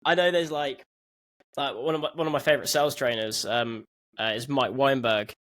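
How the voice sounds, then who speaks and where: A man's voice: mid-range voice, fairly even intonation, brisk, a bit bright. A young man hosting a podcast, asking questions in a loose, chatty way into a studio microphone. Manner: chatty, loose, says "like" a lot. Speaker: podcast host. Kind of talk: casual interview questions. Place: home room. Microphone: studio mic on a stand.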